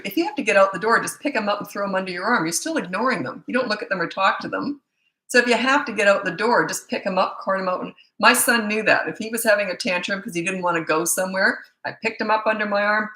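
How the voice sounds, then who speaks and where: Hoarse, blunt middle-aged woman. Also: mid-range voice, quick pace, bright, forceful tone. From Canada. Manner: direct and no-nonsense, with frequent fillers and restarts. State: hoarse, losing her voice. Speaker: parenting coach. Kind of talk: live-stream Q&A answering viewer comments. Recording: echoey room.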